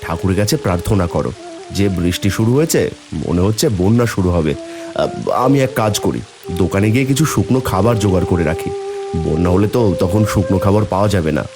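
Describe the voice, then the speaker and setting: A man's voice: low-pitched, lively intonation, fast talker, music in the background. A man voicing a mild, reassuring village husband and father in a fairy-tale cartoon.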